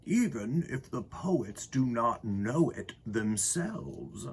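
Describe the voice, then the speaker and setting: A man's voice: medium pitch, big pitch swings, takes it slowly, husky, full character voice. A man voicing a worried, hesitant old grandfather in a storybook, unsure of his words.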